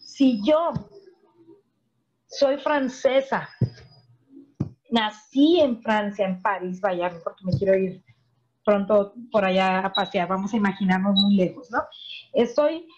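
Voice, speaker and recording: A woman gives a talk to parents over a video call. Her voice is mellow and warm, and she explains patiently, checking in with her listeners through rhetorical questions. The audio is muffled and lo-fi. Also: mid-range voice. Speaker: psychologist giving a talk to parents. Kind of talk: virtual lecture to parents.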